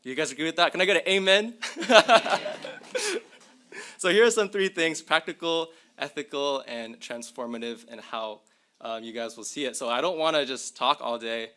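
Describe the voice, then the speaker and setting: A man's voice: a little high, expressive, moderate pace, pushed and bright. A young man presenting at a symposium, casually chatting to the audience while demonstrating tools live. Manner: chatty, addresses audience directly. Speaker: tech presenter. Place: university lecture hall.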